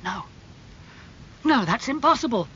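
A man voicing an anguished, impulsive young gentleman in an audiobook, earnest and pleading in manner. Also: sits high, big pitch swings, moderate pace, highs cut off, slight background noise, exaggerated voice. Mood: horrified. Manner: emotional, pleading.

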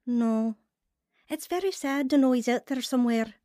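A woman's voice: fairly high pitch, moderate pace, warm tone, dry recording, studio quality, close to an everyday voice. An actress playing an earnest, wistful woman telling of her lonely youth in a radio drama. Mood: sad, homesick. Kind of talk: first-person radio drama narration and dialogue.

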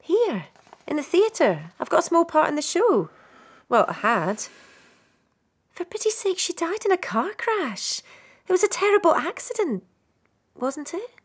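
A woman's voice: very high voice, very animated, measured pace, pauses often, full character voice. A woman acting in a radio drama as a defensive, gossipy stage actress being questioned, speaking expressively. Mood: indignant, upset.

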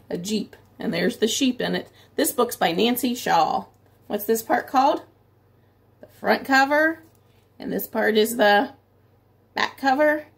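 A woman's voice: fairly high pitch, creaky, husky. A middle-aged woman reading a picture book aloud to young children as a teacher, expressively stretching story phrases and adding simple explanations. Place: small room at home, indoors by a window.

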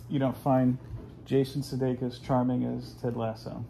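A man's voice: medium pitch, level tone, normal speed, vocal fry, noisy background. A man in his forties, hosting a casual home video, talking in a rambling, chatty way with plenty of fillers. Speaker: host. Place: living room at home, indoors.

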